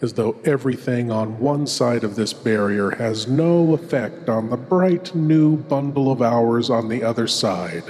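A man playing a suave, ghoulish horror host lord, speaking in a deliberate, intimate, theatrical way as he introduces scary tales. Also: mid-range voice, darkish tone, natural voice. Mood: ominous. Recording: long reverberant hall echo.

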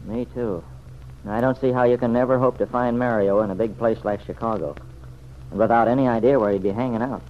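A man's voice: mid-range voice, steady tone, a little fast, close to an everyday voice. An actor playing a plainspoken, loyal younger man with a blunt, folksy manner in an old-time radio serial. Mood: resigned. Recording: muffled old radio recording, faint noise.

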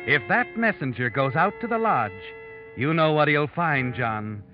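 An actor playing an ominous, knowing narrator of a 1940s radio suspense drama, speaking in a dramatic, deliberate, menacing way. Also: male voice, on the high side, animated. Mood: ominous, taut. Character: ominous, knowing male narrator taunting a guilty man. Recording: dull old radio recording, loud music.

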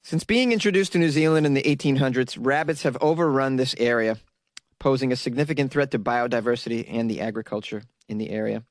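A man's voice: medium pitch, fast, no echo, clean studio sound. A man reading news stories aloud and breaking off into sarcastic, rambling jokes aimed at his viewers. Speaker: solo news commentary host. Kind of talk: casual commentary reading news articles.

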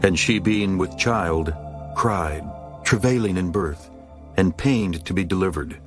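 A man reads scripture as a dramatized audiobook narrator. His voice is on the low side, solemn and measured. Music plays clearly behind him.

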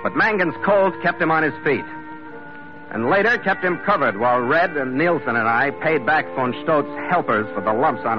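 A man's voice: medium pitch, close to an everyday voice. A man playing a seasoned, wry, easygoing sea captain who narrates a vintage radio drama. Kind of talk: 1940s radio drama, scripted first-person narration. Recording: muffled vintage radio recording, loud music.

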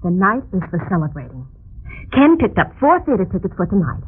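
A chirpy, pushy, kind-hearted neighbor in a vintage radio drama. Her voice is a little rough and swoops with eager persuasion. The sound is thin and phone-like. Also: lowish voice, sing-song, brisk. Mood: excited, coaxing. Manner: chirpy, coaxing. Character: bubbly, pushy, well-meaning married next-door neighbor. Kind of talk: old-time radio drama acting. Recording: tinny, band-limited old radio recording.

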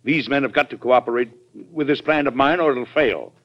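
An actor playing an earnest, fatherly American president in a vintage radio drama, measured and persuasive, with an American accent. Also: medium pitch, lightly acted. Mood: resolute. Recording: muffled vintage radio recording.

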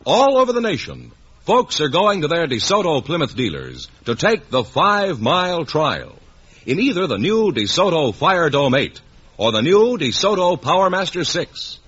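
Bright, forceful American radio announcer man. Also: a little high, wildly swooping pitch, normal speed, husky. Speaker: announcer and quiz show sidekick. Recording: muffled old radio broadcast.

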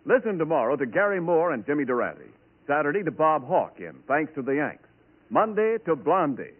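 A man with an American accent reading scripted announcements in the style of 1940s radio, on a tinny old recording. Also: a little high. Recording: tinny old radio broadcast, music behind.

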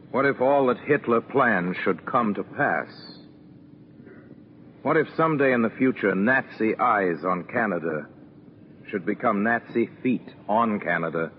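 Deep, solemn 1940s radio narrator. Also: male voice, expressive, a little slow, pauses often. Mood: grave, foreboding. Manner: solemn, rhetorical. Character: grave, impassioned narrator of wartime radio play. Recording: tinny, noisy 1940s radio recording.

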